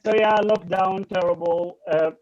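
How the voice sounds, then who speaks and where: Man, Syrian Arabic accent, high voice, dry stand-up one-liners, muffled audio, crowd. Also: steady tone, moderate pace. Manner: dry one-liners delivered with light laughs. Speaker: stand-up comedian. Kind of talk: stand-up set. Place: bedroom at home.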